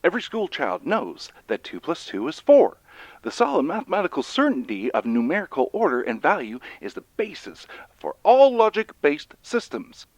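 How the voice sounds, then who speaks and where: A man's voice: on the high side, huge pitch swings, moderate pace, no echo, poor audio. An actor playing an earnest male professor who explains urgently and emphatically in an audio drama. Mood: emphatic explaining. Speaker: professor, researcher. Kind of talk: dramatized audio fiction monologue.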